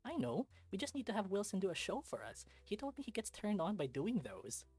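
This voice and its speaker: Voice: mid-range voice, big pitch swings, a little fast, darkish tone, slightly rough, soft background music, voice pushed up. A performer voicing a cheeky, teasing, snack-loving young male student in a visual novel.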